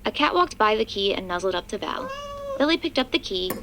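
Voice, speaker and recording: A woman's voice: mid-range voice, sing-song, fairly quick, noisy background. A woman narrating a scripted audio drama in the third person.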